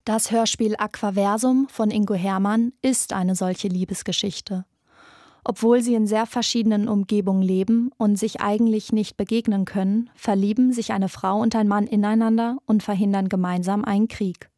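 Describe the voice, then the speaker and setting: A woman presents a podcast in scripted documentary narration. Her pitch is medium and the recording is very dry. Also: fairly even intonation, normal speed, mellow, no room sound.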